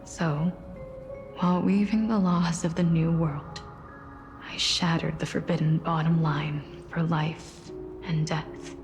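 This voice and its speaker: A solemn female death deity from a fantasy game, tells her tale very slowly and softly. Her voice sits a little low with a creaky edge and drifts into breathiness, wistful and grave. Soft music plays behind her. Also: steady tone. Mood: mournful, reflective. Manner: slow, soft, solemn storytelling, often breathy. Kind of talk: scripted game character voice acting.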